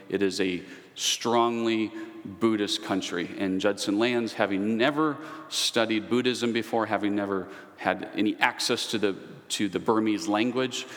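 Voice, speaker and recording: A man giving a conversational history lecture. He wanders into asides and personal anecdotes as he teaches. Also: lowish voice. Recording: long reverb, echoey hall.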